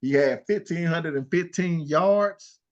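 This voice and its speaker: An older man talking sports with a higher-pitched voice and lots of swagger, reeling off numbers and needling his co-host. The sound is dull and lo-fi. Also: unhurried. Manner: animated, trash-talking, rattles off stats. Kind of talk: casual sports talk.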